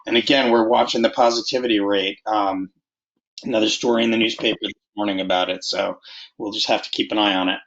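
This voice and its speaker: A man's voice: lowish voice, steady tone, quick pace, a bit bright. A man giving an informal update as a school board member in an online meeting. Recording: muffled video meeting audio.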